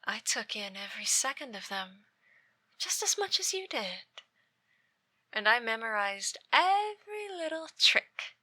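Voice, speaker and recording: Woman performing a menacing yandere ex-girlfriend, very slow, sharp bright voice, breathy whispers, taunting, ASMR roleplay. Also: mid-range voice, dry recording, exaggerated voice. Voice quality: breathy, often dropping into whispers. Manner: slow, deliberate, taunting, theatrical. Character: obsessive, menacing yandere ex-girlfriend stalking her beloved. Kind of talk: ASMR roleplay, dramatic monologue. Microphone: close dry microphone.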